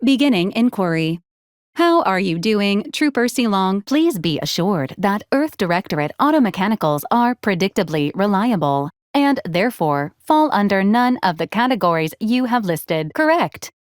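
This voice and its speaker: A woman acting as a female service robot from a video game, polite and literal-minded. Her mid-pitched voice is formal, precise and clinically detached, delivering everything deadpan. The sound is very dry, with no room around it. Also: moderate pace, dry recording, natural voice. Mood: flat, clinical. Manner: formal, precise, deadpan, clipped machine phrasing. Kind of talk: scripted video game character lines.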